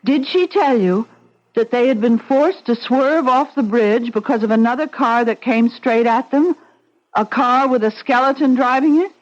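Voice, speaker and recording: An actress playing a worried young wife pressing hard for answers in an old radio drama, on a dull vintage recording. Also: female voice, a little high, normal speed, close to an everyday voice. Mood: insistent. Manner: insistent, probing.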